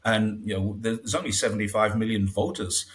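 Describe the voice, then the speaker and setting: A man's voice: lowish voice, steady tone, moderate pace. A man as an interview guest, talking in a casual, wry way and acting out quotes with short laughs. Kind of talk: casual conversational commentary.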